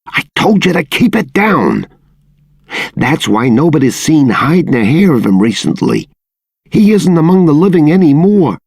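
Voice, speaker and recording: A man's voice: on the high side, very animated, unhurried, gravelly, a little mellow, breathy edge, no room sound, studio quality, full character voice. A man playing a secretive townsman in a video game, confiding grim gossip in a storytelling manner. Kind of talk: video game dialogue acting.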